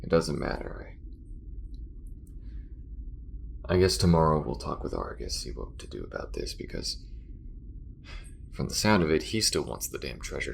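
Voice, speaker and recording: Man acting a gruff, cavern-deep gravelly alien crewmate. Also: fairly bright tone, a little hiss or hum, exaggerated voice. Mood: grim, resolved. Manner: halting, muttering, grudging. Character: gruff, guarded male alien crewmate, secretly anxious. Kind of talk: intimate ASMR roleplay acting.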